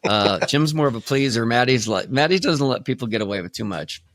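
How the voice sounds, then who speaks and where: A man's voice: medium pitch, expressive, a little fast, a bit bright, no room sound. A man hosting a conversational podcast interview in his natural, unaltered speaking voice. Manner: speaks in natural, unaltered voice when presenting feminine.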